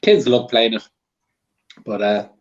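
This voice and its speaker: A man chatting casually as a panel guest, halting and repeating his words. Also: lowish voice, lively intonation. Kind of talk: casual chat. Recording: dull lo-fi audio.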